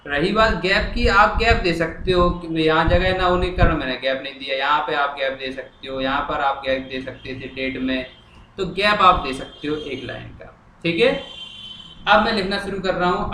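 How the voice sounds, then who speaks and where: A male teacher with a fairly high, bright voice explains material line by line, repeating and translating as he goes. He often asks a question and answers it himself. The room is noticeably echoey, with faint noise behind him. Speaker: English teacher.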